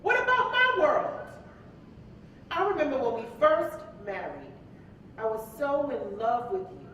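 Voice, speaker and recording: On stage, an anguished wife who feels neglected, pressing her case with dramatic emotion. Her voice is high. The recording is distant and heavily echoing, as in a live hall. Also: very animated, measured pace, bright, forceful tone, heavily acted. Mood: hurt, pleading. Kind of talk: staged play reading, dramatic dialogue. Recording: very echoey live hall, distant mic, rumble.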